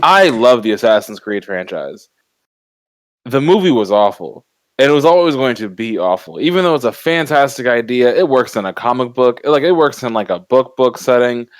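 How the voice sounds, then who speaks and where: Man, medium pitch, dry recording, casual co-host, emphatic, opinionated, salty language. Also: animated, moderate pace, dry recording. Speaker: podcast co-host. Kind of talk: casual panel chat.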